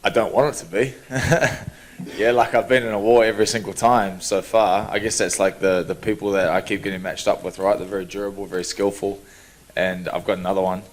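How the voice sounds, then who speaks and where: A young man, answering reporters' questions in an easygoing, relaxed way at a media day. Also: fairly low pitch, a little fast, a little hiss or hum. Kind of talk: press scrum answers.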